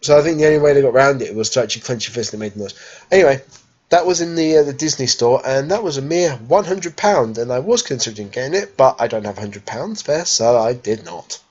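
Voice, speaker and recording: A man in his thirties with a croaky cold voice, chatting casually on his sofa into a webcam. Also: medium pitch, animated, quick pace, a little mellow, highs cut off. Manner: rambling, chatty, many fillers. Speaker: vlogger. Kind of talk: casual vlog chat. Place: living room sofa at home, then busy indoor exhibition hall. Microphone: webcam at home, handheld phone selfie at the show.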